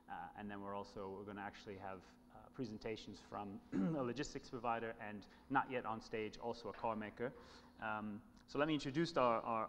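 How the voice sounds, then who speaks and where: A man moderating a conference, giving opening remarks in a halting way and often repeating words. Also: medium pitch. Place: large conference hall. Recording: reverberant hall, faint noise.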